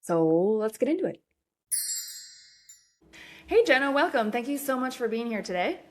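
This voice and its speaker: A woman's voice: a little high, big pitch swings, moderate pace, soft background music. Upbeat woman podcast host. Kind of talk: scripted intro then interview questions.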